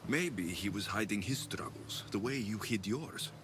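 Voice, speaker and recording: A man acting a calm, protective ancient Greek mercenary in a video game, steady and reassuring in manner. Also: fairly low pitch, a little slow, background noise. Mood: gentle concern.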